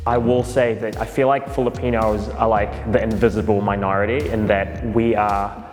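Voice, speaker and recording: A man's voice: lowish voice, normal speed. A man, a theatre director, chatting casually and hesitantly with fillers. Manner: relaxed, hesitant with fillers and laughs. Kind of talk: casual interview chat. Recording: roomy reverberant space with faint music.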